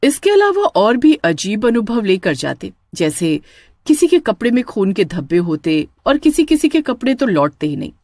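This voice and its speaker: A woman's voice: medium pitch, sing-song, moderate pace, darkish tone, dry recording. A woman narrator reading a scripted horror story.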